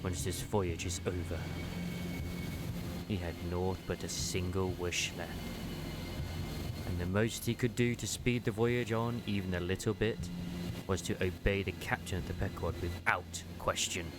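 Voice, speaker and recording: A man's voice: low-pitched, a little slow, very noisy. A man giving a dramatic, scripted narration of a story as a fan dub. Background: music.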